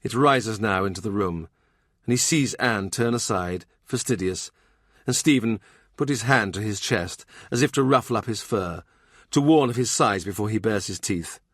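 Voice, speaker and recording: A man narrating a literary historical novel as an audiobook, in a measured, dramatic storytelling manner. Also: on the low side, dry recording, studio quality.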